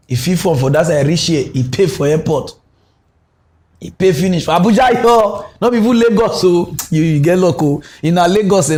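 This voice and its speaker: A man with a Nigerian Pidgin accent hosting a comic mock news segment, ranting in an animated, mocking way. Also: sits high. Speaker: host.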